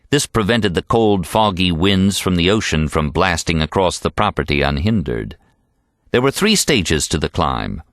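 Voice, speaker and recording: A middle-aged American man reading a novel aloud as an audiobook narrator, articulate and measured. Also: low-pitched, fairly bright tone, dry recording, studio quality.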